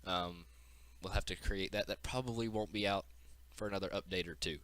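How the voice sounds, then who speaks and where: A man's voice: low-pitched, fairly even intonation, brisk, fairly bright tone. A man giving an informal, unscripted walkthrough of a game demo as its developer, explaining as he goes, with audible breathing into the mic.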